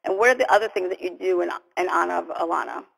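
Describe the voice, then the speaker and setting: A woman hosting a conversational interview, speaking supportively in a halting way with repeated phrases. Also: fairly low pitch, creaky, a bit bright, breathy edge, in a large hall, poor audio. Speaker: host, interviewer.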